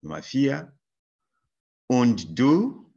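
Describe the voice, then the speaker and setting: Man from zambia, language teacher, husky voice, very slow delivery, lively pitch, dull lo-fi webcam audio. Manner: very slow, deliberate reading with fillers. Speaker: German teacher. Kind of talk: reading a practice dialogue for learners.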